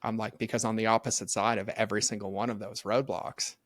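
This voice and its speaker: A man's voice: on the low side, level tone, quick pace, no room sound, studio quality. A middle-aged man talking earnestly and animatedly as a podcast guest, full of "like" fillers. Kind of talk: casual faith conversation.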